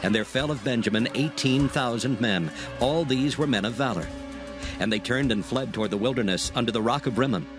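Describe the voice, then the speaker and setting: A man's voice: medium pitch, brisk. Scripture-narrating man over prominent music.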